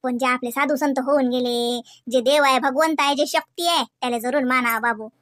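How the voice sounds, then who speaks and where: Woman acting high-pitched, bright, fussy Varhadi village aunt. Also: steady tone, normal speed, dry recording, clean studio sound. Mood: affectionate, preaching. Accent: Varhadi Marathi from Vidarbha. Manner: colloquial, rambling, coaxing and grumbling. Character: chatty, devout, fussy older village aunt, affectionate and thrifty. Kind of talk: comedy cartoon dialogue.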